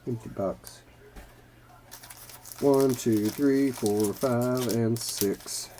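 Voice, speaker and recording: A man's voice: mid-range voice, dark tone, slight background noise, rough recording. A man hosting a trading-card opening video, still a bit congested from bronchitis, casually reading out player names as he opens packs. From Kentucky. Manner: unhurried, reads player names as cards flip. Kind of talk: casual card-ripping commentary.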